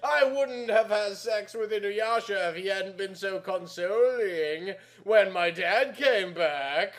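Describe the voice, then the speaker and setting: Man putting on a high, melodramatic anime girl. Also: slow pace, fairly bright tone. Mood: anguished. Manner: melodramatic, overwrought.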